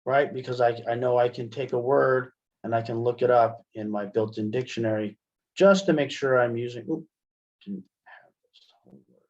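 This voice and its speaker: A man giving a casual software demonstration as an instructor, conversational and thinking aloud as he goes. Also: medium pitch, fairly even intonation, moderate pace. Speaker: instructor, webinar presenter. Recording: dull, band-limited webinar audio.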